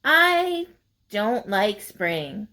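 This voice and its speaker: A woman's voice: mid-range voice, very animated, very slow, sharp tone, exaggerated voice. A woman voicing a grumpy, scheming male raccoon in a storybook, sulky and muttering.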